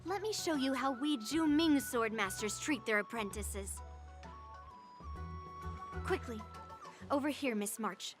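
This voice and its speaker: A woman's voice: sits high, expressive, a little slow, pauses often. A woman voicing a cocky, competitive young girl sword master in an anime game, haughty and boastful, with music behind her. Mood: proud, commanding. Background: game background music.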